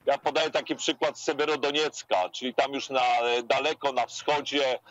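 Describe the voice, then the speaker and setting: A man in his fifties giving a hesitant live field report outdoors on a residential street, speaking through an earphone headset with many fillers and pauses. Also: medium pitch, fairly even intonation, a bit bright, no echo. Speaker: war correspondent. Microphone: earphone headset on a video link. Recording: lo-fi video call audio.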